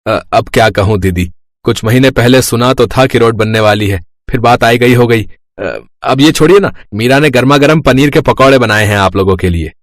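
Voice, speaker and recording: An actor playing a mild, hesitant, accommodating middle-class husband and younger brother in a scripted moral story. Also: male voice, medium pitch, moderate pace, dry recording, studio quality, close to an everyday voice.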